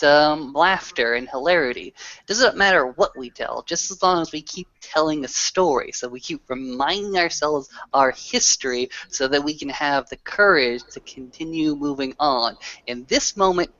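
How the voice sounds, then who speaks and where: A man's voice: fairly high pitch, bright, forceful tone, muffled recording. A man playing an earnest, grandiloquent werewolf storyteller with a formal, oratorical delivery in a tabletop roleplay. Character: grandiloquent male werewolf storyteller, earnest, rallying his pack.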